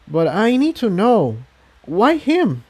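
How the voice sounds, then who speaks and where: Man doing a high-pitched, gentle young mare. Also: huge pitch swings, takes it slowly, husky, heavily acted. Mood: puzzled. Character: young female pony, gentle, giggly, forgiving friend.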